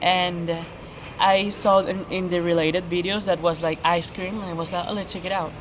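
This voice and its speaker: A woman's voice: fairly low pitch, flat delivery, moderate pace. An eighteen-year-old woman hosting a casual Q&A, chatty and giggly, with loose English grammar. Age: teens. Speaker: channel host. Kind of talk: casual Q&A chat. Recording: noisy background hiss.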